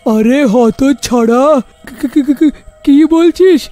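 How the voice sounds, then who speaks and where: A man's voice: extremely high pitch, slow pace, warm tone, soft background music. A man voicing a cowardly, jittery village man in a cartoon, drawling and prone to stammer. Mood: panicky.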